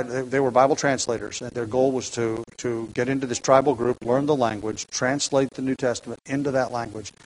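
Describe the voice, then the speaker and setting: A man, a pastor, preaching a sermon in a halting way, with many restarts and light chuckles. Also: medium pitch, slight background noise, rough recording.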